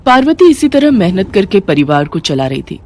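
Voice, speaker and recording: A woman's voice: mid-range voice, big pitch swings, moderate pace, a little mellow. A woman reading a scripted moral story as its narrator. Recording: music bed behind the voice.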